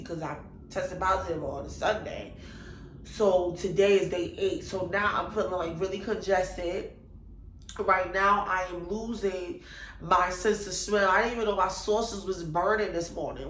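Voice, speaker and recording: A woman's voice: fairly low pitch, roomy sound, slight background noise, low-quality recording. Congested young woman telling her story. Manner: animated, chatty, exclaims to God and Jesus. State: congested, nasal. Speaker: vlogger. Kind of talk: casual storytime vlog.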